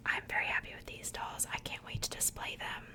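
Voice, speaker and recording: A woman whispering softly and breathily in a casual, gentle ASMR style, chatting conversationally close to the microphone. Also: brisk. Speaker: host of an ASMR doll unboxing channel.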